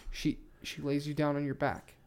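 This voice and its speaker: A man's voice: on the high side, completely flat delivery, normal speed, creaky, a little mellow. A man hosting a podcast in casual chat, swearing freely and rambling insistently as he repeats his points. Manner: profane. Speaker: podcast host.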